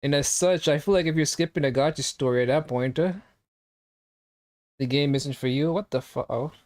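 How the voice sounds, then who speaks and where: Teasing young male streamer, fairly high voice. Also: moderate pace, darkish tone. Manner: joking, teasing, reacting to chat. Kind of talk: casual live-stream chat.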